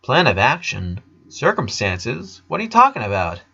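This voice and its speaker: A man's voice: mid-range voice, wildly swooping pitch, moderate pace, vocal fry, sharp tone, breathy edge, lo-fi sound, exaggerated voice. A man voicing an anxious eleven-year-old boy who stammers and pleads urgently, in a cartoon fan fiction reading. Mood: startled, worried.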